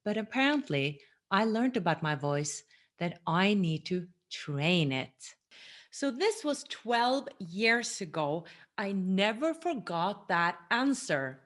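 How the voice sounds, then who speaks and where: A woman in her forties talking earnestly to camera in a personal teaching monologue, recorded seated in a small room at home. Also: mid-range voice. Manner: earnest, gestures a lot with her hands. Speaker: vlogger.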